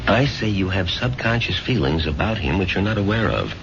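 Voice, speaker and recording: An actor playing a calm, authoritative, pedantic doctor in a 1970s radio drama, measured and clinical. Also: male voice, low voice, gravelly, heavily acted. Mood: firm, persuasive. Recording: dull noisy 1970s radio broadcast.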